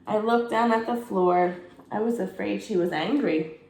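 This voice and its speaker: A woman, a teacher, reads a children's bedtime story with lively expression in a mid-pitched voice. She pauses to put questions to her young listeners, and her room gives the voice a clear echo. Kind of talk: children's bedtime read-aloud. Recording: echoey room.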